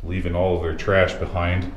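A man hosting a mine exploration vlog, talking viewers and a companion through abandoned tunnels in a slow, easygoing way. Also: low-pitched, little pitch movement, muffled recording. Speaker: mine explorer and channel host. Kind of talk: walking-tour vlog narration. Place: large abandoned underground mine. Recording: echoey underground tunnel, faint noise.

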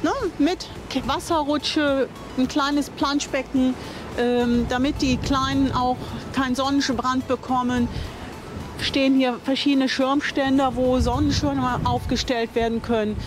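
A woman's voice: high-pitched, little pitch movement, measured pace, music in the background, low-quality recording. An older woman giving a chatty, enthusiastic on-camera tour of a campsite outdoors, thinking aloud and correcting herself as she goes. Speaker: vlogger touring a campsite. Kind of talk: casual on-camera tour commentary.

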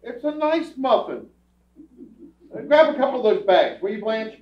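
A man's voice: high-pitched, very animated, moderate pace. A man playing a grumbling, bleary, put-upon husband in an old-time radio comedy on stage. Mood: grumbling, pained. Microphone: distant camera. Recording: tinny, echoey stage from far off.

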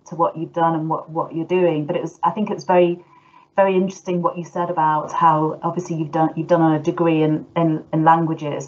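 A woman's voice: deep voice, a little mellow. Hesitant woman host on a muffled call. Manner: hesitant, many restarts. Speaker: host and former teacher. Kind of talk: online talk moderation. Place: indoors at home. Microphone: laptop video call. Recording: muffled video call audio.

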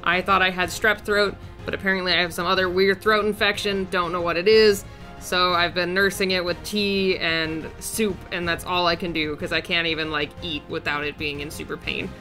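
A young woman talks through a drawing in a casual voice-over, her voice raspy and a little deeper because of a sore throat. Her tone is lowish yet bright and clear, and she rambles along in an upbeat, chatty way. Soft music plays faintly under her voice. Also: fairly even intonation, moderate pace. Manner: chatty, upbeat, rambling with fillers and small laughs. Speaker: art channel host.

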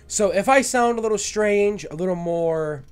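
A man's voice: sits high, normal speed, smooth, soft background music. A young man with a stuffed-up, nasal voice from a cold, chatting and joking to himself while playing a game at home on a headset mic. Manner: chatty, self-mocking, talks to objects. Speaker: gaming video host. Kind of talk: let's play commentary. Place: small room at home.

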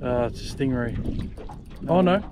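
A man's voice: mid-range voice, expressive, unhurried. An Australian man in his thirties, narrating a fishing trip to an action camera on a small boat, chatty and upbeat. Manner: upbeat, chatty, exclaims at the catch. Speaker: fishing vlogger. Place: small boat on an open inlet, outdoors. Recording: outdoor recording with wind and water noise. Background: water, wind and boat noise.

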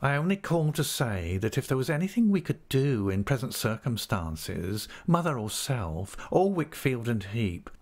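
A man's voice: medium pitch, big pitch swings, a little slow, hardly any pauses, a little mellow. A man voicing a falsely humble, scheming clerk in an audiobook, meek, oily and obsequious. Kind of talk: audiobook character acting.

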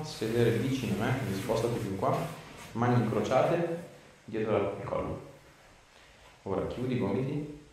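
Low-voiced man, very echoey small room. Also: warm tone. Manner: encouraging, short directives. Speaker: osteopath treating patients. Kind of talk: casual clinical instructions to a patient. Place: small treatment room indoors. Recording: roomy, distant mic, faint room noise.

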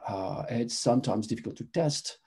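A middle-aged man from France with a French accent, presenting a webinar over a video call and talking haltingly with many fillers and restarts. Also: medium pitch, mellow, slightly rough. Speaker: presenter, mobile engineering manager.

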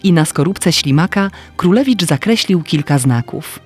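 A woman's voice: fairly low pitch, lively intonation, moderate pace, breathy edge, faint music behind. A woman reading a scripted fairy tale as narrator.

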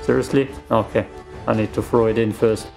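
A man's voice: lowish voice, steady tone, a little fast, vocal fry, over music. German-native man rambling over gameplay. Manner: rambling, self-deprecating, reacts aloud to the game. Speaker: let's play gamer. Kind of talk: casual gameplay commentary. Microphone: desk microphone.

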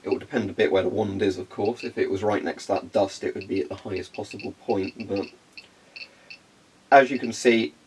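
A man's voice: fairly low pitch, fairly even intonation, a little fast, breathy edge. Phlegmy young man demoing gear. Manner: chatty, rambling, frequent ums. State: congested, phlegmy from a cold. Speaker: hobbyist video host. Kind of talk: casual hands-on demonstration. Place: carpeted room indoors.

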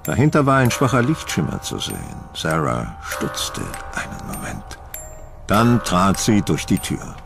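A man narrating a scripted horror audio drama in a suspenseful, deliberate storytelling manner. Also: low-pitched, wildly swooping pitch, gravelly, music in the background.

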